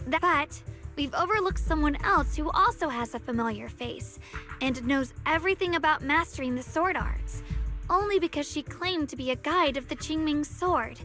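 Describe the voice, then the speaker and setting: A woman's voice: sits high, moderate pace, pushed and bright. A woman voicing an earnest young swordswoman, a younger sister, in a video game. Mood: resolute. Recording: game music behind the voice.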